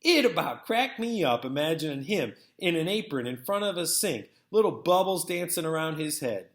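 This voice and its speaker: A man reading a first-person story aloud as narrator, with an expressive, folksy storytelling manner. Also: on the high side, moderate pace. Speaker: read-aloud narrator, teacher. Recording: echoey, roomy sound.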